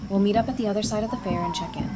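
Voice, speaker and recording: A woman acting a brisk, casual woman leader in an audio drama. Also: mid-range voice, natural voice. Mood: concerned. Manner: casual, direct.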